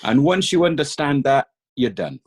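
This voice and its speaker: A middle-aged man with a Welsh accent, a podcast guest who talks in a calm, laid-back way. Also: a little high, moderate pace, husky. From Cardiff, Wales. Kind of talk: casual chat.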